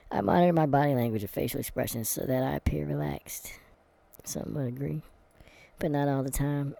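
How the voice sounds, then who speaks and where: A man reading an online quiz aloud in a casual vlog, answering it with sarcastic, deadpan asides and dark comic rants. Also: medium pitch, vocal fry. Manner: reads quiz text aloud, stumbling and muttering. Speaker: vlogger. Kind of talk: casual commentary while taking an online quiz.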